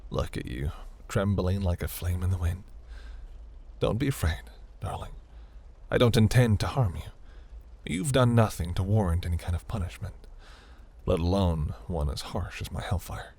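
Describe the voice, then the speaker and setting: A man voicing a seductive, imperious demon prince in a fantasy audio roleplay, velvety, teasing and grandiose, with a breathy, gravelly murmur. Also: low-pitched, lively intonation, moderate pace, a little mellow, full character voice. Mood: teasing reassurance.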